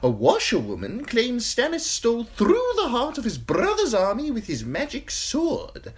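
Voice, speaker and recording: A woman voicing a sly, simpering male eunuch courtier with a high, tittering voice, in an audiobook. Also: huge pitch swings, measured pace, breathy edge, full character voice. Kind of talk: audiobook character voice.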